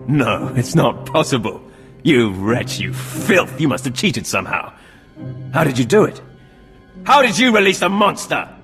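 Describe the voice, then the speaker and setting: A man's voice: medium pitch, very animated, a little slow. A man playing an arrogant, easily panicked young Sith acolyte in a video game, with an emphatic, petulant manner. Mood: outraged. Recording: long reverb, loud background music.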